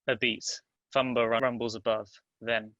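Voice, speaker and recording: Male narrator, bright forceful tone, restrained pitch movement, deliberate, terse, scripted drama reading, dry sound. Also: flat delivery, no room sound, highs cut off. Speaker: narrator reading stage directions.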